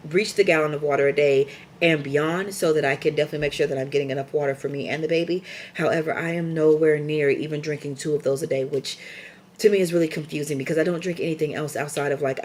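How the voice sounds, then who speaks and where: A young woman with a scratchy, raspy voice from a lingering cold, talking casually in a rambling vlog update full of ums and asides. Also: sits low. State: recovering from a cold. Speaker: vlogger.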